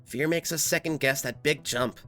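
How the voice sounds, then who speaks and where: A man's voice: a little high, moderate pace, bright, forceful tone, faint music behind. A man voicing a brave, earnest young robot boy hero in a comic dub, encouraging and resolute. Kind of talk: dramatic comic-dub acting.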